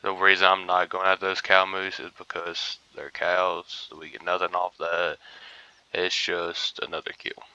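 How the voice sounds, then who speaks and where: A man's voice: low-pitched, completely flat delivery, moderate pace, bright, forceful tone, clear, resonant tone, no room sound, muffled recording. A young man casually commentating a hunting game, groggy and rambling as if he just woke up. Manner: laid-back, rambling, mumbly asides. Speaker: gaming streamer.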